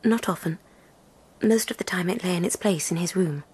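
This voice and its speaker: An actress playing an anxious, defensive lady of the court in a radio drama, breathy and guarded. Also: fairly low pitch, moderate pace, darkish tone. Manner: formal, guarded.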